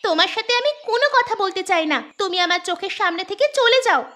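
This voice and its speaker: A woman's voice: very high voice, lively intonation, fast, pushed and bright, full character voice. A woman voicing a defiant, indignant young village girl in a cartoon, speaking firmly and without fear. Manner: indignant, firm. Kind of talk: Bengali cartoon voice acting.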